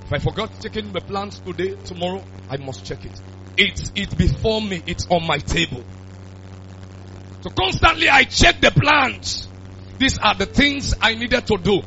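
Pastor guy in his late 20s, high lively voice, preaching loud, kinda muffled with music under it. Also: normal speed, slight background noise. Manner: preaching, bursts of shouting. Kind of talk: sermon. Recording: dull lo-fi sound with music.

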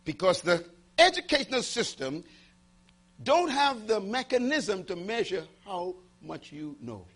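A man preaching with a high, husky voice that leaps up and down in pitch. He fires questions at the crowd, hammers his points by repeating them, and drops to a near hush before surging back loud. A faint hum sits under the recording. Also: very animated, measured pace. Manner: dramatic swings between loud and hushed, call-and-response questions; emphatic, repeating key phrases. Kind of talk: motivational sermon to a live audience.

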